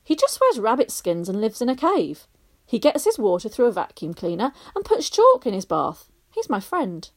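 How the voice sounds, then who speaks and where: A woman's voice: on the high side, huge pitch swings, moderate pace, full character voice. A woman voicing an eager, earnest young boy in a storybook reading. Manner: earnest, protesting.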